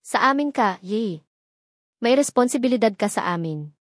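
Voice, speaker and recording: Proud, scornful young woman, mocking and sharp-tongued, read by a woman storyteller. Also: a little high, lively intonation, moderate pace, no room sound, studio quality, close to an everyday voice. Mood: sneering. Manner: sneering, mocking.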